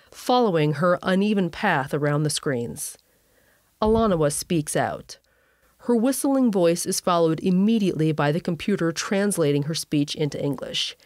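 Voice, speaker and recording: A woman's voice: fairly low pitch, a little mellow, dry recording, clean studio sound. A woman reading a scripted sci-fi story as narrator, with wry, conversational asides. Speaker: narrator and writer of a sci-fi audio series.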